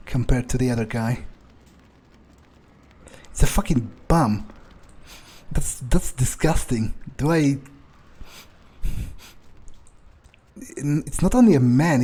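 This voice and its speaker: A jaded new vampire with a criminal streak improvised by a male player in a game roleplay. He mutters in a husky, mid-pitched voice, halting, sardonic and foul-mouthed. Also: warm tone. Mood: sardonic, reluctant.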